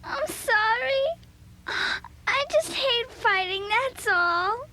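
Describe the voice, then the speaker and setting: A woman's voice: very high voice, drawn-out words, bright, forceful tone, exaggerated voice. An actress playing an emotional young teenage girl among club friends in a children's audio drama. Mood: tearful. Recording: faint background noise on cassette.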